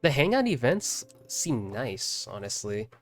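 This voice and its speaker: A man doing casual reaction commentary as a streamer, halting and often repeating his phrases. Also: medium pitch, big pitch swings. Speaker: streamer reacting to game trailers. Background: game trailer music in the background.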